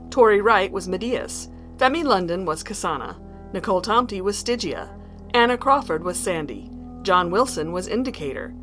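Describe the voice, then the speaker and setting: A woman's voice: mid-range voice, fairly bright tone. A woman announcer reading scripted closing credits. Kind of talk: scripted narration.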